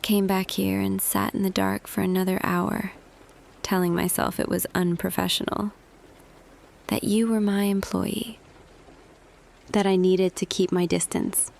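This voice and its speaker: A woman playing a tender female boss confessing love to an employee in an intimate roleplay audio, hushed and hesitant, slipping between whisper and soft voice. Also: mid-range voice, little pitch movement, measured pace, gravelly. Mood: confessional, longing.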